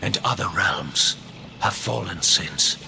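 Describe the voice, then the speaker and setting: An actor playing a proud, grave ancient angelic ruler in a video game, grandiose and theatrical, with a heavily gravelly voice. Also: male voice, deep voice, takes it slowly, noisy background, heavily acted. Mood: brooding. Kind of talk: video game cutscene acting. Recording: music behind the voice.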